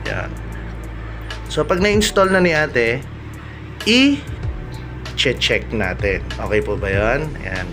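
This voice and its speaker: A middle-aged man with a Filipino accent, chatting to viewers in a casual vlog full of shout-outs. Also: mid-range voice, very animated, very slow, pauses often. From Philippines. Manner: chatty, often addressing viewers. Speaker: motovlogger host. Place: vlogging in an intercom accessory shop. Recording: loud music behind the voice.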